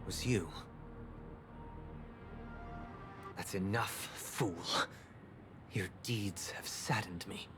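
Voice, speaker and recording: A solemn winged man from a fantasy video game. He speaks very slowly in a lowish voice, with formal, preachy phrasing and icy restraint. Music plays clearly behind him. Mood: grave, stern. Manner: formal, archaic, preachy diction. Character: solemn, righteous winged man grieving his sister, coldly restrained. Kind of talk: dramatic video game voice acting. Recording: background music under the voice.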